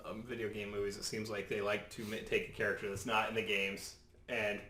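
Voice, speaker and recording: A lower-pitched man talks quickly in casual group conversation. The room around him echoes noticeably, and he gives his opinions in an understated way. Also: level tone, pushed and bright. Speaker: podcast co-host. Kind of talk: casual chat. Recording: roomy, echoing room.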